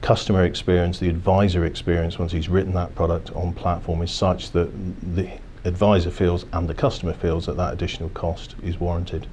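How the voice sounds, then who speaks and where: A low-voiced man in his fifties explains business matters in a steady, corporate way and keeps circling back to restart his phrases. His tone is dark and fairly flat. He is recorded seated in a quiet office with faint ambience behind him. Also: a little fast, few pauses, a little hiss or hum. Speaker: financial services executive. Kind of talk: business interview. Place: office, indoors.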